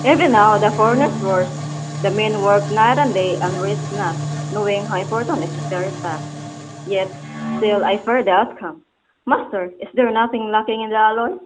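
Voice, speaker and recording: A woman acts out a loyal, anxious servant in an old-world stage play. The voice is of medium pitch, and the delivery is formal and respectful toward the master. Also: normal speed, noisy background, close to an everyday voice. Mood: worried, deferential. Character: loyal, worried servant bearing messages to the master. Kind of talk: amateur stage-play dialogue, formal archaic English.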